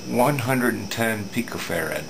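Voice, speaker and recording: A middle-aged man giving an unscripted hands-on repair demonstration in a halting, matter-of-fact way, recorded at an indoor workbench. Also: fairly low pitch, vocal fry. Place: indoor home electronics workbench. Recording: steady room hum, air-conditioning noise.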